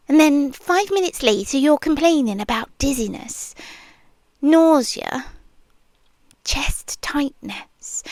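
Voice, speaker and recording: A woman's voice: sits high, dark tone, no echo, full character voice. A woman with a British accent voicing an obsessive, possessive young nurse in a whispered ASMR roleplay, speaking in an intimate, teasing murmur. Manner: slow, intimate, teasing purr with frequent whispers.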